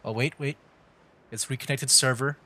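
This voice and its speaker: A man's voice: medium pitch, steady tone, moderate pace, pushed and bright. A man giving casual, rambling commentary on a game livestream, often repeating himself as he chats. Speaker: livestreaming VTuber gamer.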